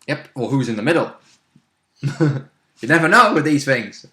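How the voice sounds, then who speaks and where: A man's voice: medium pitch, animated, unhurried, bright, forceful tone. The chuckle-prone guy on the other chair in an echoey room, casually co-hosting a hobby video about last week's tabletop game. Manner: chuckles often while talking. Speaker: player and co-host. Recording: roomy, echoey sound.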